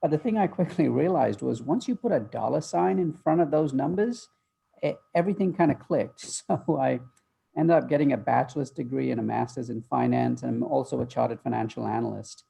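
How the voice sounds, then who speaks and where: A man's voice: mid-range voice, a little fast, vocal fry, mellow, husky. A middle-aged man from Malaysia who grew up in the UK, answering thoughtfully on a remote interview call with many ums. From Malaysia, raised in the UK. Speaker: guest, future-of-work author. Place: home office. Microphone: video call.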